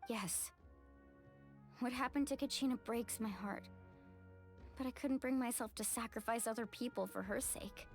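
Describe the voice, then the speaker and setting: A woman acts a heartfelt young warrior woman in a video game, fairly high-voiced and earnest. She speaks with loyal passion over background music. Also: normal speed, pauses often, lightly acted. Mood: sad, resolute. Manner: earnest, emotional delivery. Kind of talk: English video game voice acting. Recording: music under the voice.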